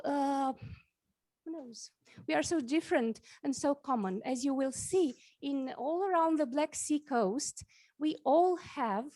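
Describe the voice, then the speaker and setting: A woman from Romania, giving a conference talk with slides, halting often with fillers and restarts. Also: high voice, warm tone. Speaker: conference speaker. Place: conference hall.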